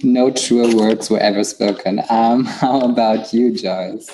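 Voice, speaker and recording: A man's voice: on the low side, steady tone, slow pace, dark tone, a little hiss or hum, low-quality recording. A man moderating a panel, asking friendly, slightly hesitant questions.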